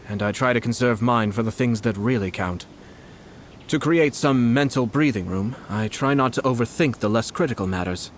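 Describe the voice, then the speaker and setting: Man playing a calm, shrewd noble commissioner. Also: medium pitch, a little hiss or hum, close to an everyday voice. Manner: calm, measured, courteous formal speech. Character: composed young male noble commissioner, shrewd and polite. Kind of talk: game cutscene voice acting. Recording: dialogue over music score. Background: orchestral game music.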